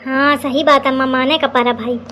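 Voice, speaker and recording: A woman plays a cheeky young village lad from a comedy cartoon. The voice is very high, with an Awadhi accent and a wry, complaining way of talking. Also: fairly even intonation, normal speed, bright, forceful tone, a little hiss or hum. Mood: agreeable, teasing. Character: cheeky unmarried young village son, mother's confidant.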